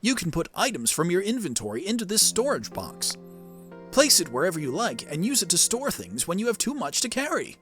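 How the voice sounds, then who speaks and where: A man's voice: high-pitched, huge pitch swings, brisk, fairly bright tone, soft background music, full character voice. A man voicing an older professor who narrates a monster adventure game in scripted storytelling lines. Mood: measured, storytelling.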